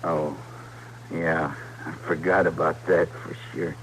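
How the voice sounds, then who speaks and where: An actor playing a simple-minded, trusting young outlaw in an old radio Western, with slow, halting, plaintive speech and an American Western drawl. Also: male voice, extremely low pitch, level tone, a bit bright, heavily acted. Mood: wounded, childlike. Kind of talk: old-time Western radio drama acting. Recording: noisy vintage radio recording.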